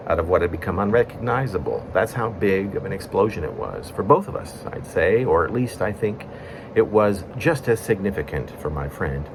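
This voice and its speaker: A man reading his own poem in a conversational storytelling way, breaking it into short phrases with pauses. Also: on the low side, a little hiss or hum. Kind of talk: spoken-word poetry reading, conversational storytelling.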